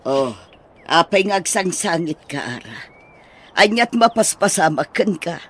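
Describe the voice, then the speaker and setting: A man voicing a fretful, protective village mother in a radio drama, with a husky voice. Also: a little high, big pitch swings, measured pace, fairly bright tone, slight background noise. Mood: concerned, consoling.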